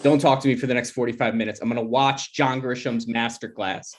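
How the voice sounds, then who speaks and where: A man's voice: medium pitch, fairly even intonation, a little fast, natural voice. A man playing an awkward, defensive young grad student with hesitant, rambling delivery in a comedy table read. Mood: exasperated.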